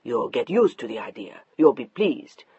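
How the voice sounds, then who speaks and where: A narrator voicing a middle-aged husband who speaks low, calm and unyielding, in a slightly muffled dry recording. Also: male voice, moderate pace, husky. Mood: steely calm. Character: stern controlling husband, middle-aged, quiet and steely.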